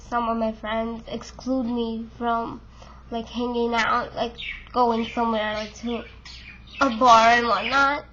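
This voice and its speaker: A woman's voice: on the high side, highs cut off, background noise. A woman in her twenties talking to camera in a casual, frank way, full of fillers, with a stuffed-up nose and a voice she keeps losing. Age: 20s. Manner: chatty, frank, many fillers. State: congested and losing voice. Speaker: vlogger.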